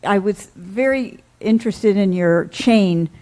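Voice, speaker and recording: Woman, audience question, hesitant, mellow tone. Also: mid-range voice. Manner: hesitant, halting. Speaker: audience member.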